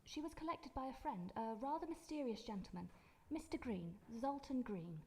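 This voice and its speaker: A woman's voice: sits high, expressive, moderate pace, darkish tone. A woman playing a polite, astonished hospice receptionist with precise speech in a live radio drama. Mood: prim, firm. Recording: reverberant hall, live stage performance.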